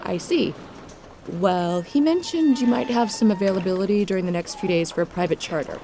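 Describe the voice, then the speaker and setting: A woman's voice: medium pitch, a little fast, mellow, a little hiss or hum, natural voice. An actress playing a determined young woman, polite but urgently insistent, in an audio drama. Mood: polite, tentative. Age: young adult. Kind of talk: full-cast audio drama acting.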